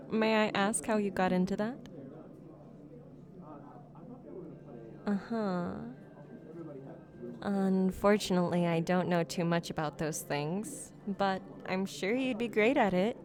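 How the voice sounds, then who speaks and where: A woman's voice: medium pitch, a little slow, crowd noise, natural voice. A woman playing a shy, geeky young silver fox on a blind date, speaking politely and hesitantly in an ASMR roleplay. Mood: polite, interested. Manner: awkward, flustered, hesitant, politely formal. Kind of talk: ASMR roleplay, one-sided conversational acting.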